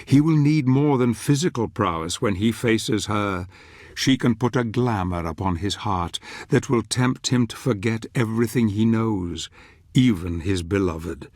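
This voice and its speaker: An actor playing a solemn, ominous storyteller, narrating a dark fantasy video game in a grave, measured, theatrical way. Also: male voice, lowish voice, no room sound, clean studio sound. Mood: grave, foreboding. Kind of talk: dramatic scripted game narration.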